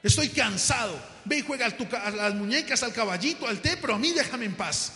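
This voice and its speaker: An exhausted, emotional family man in a spoken parable, acted out by a male preacher. His voice is high, loud and exasperated, ringing through a reverberant hall with music behind it. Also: animated, brisk, full character voice. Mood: irritated, weary. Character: José, irritable exhausted husband and father, later desperately pleading.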